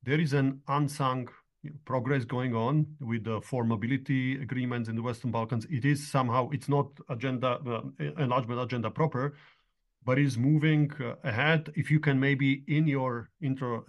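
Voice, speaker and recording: A man's voice: medium pitch, steady tone, moderate pace. Male moderator for an online panel, mid-range voice, steers speakers with follow-up questions.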